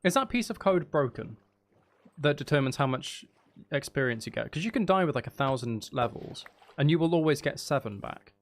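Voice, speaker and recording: A man's voice: fairly high pitch, animated, moderate pace. A man giving casual gameplay commentary to viewers, thinking aloud and questioning himself in a wry, joking way. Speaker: streamer, host of the channel.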